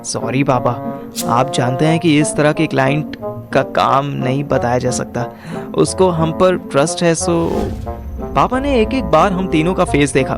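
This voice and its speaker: A man playing a wry, quick-witted young man with an Indian accent, narrating and acting in a first-person audio drama. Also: a little high, over music. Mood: earnest pleading, then amused. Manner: animated, colloquial, mixes in English.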